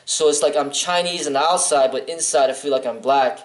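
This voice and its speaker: A man's voice: fairly high pitch, brisk, reverberant. A man from Chicago, a martial arts instructor, answering interview questions bluntly and earnestly, rambling with restarts and "um". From Chicago, United States.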